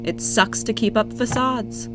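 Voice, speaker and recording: A woman playing a level-headed, honest young woman in an audio drama, speaking in a measured, articulate, earnest way. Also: a little high, big pitch swings, background noise. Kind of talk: naturalistic audio drama dialogue.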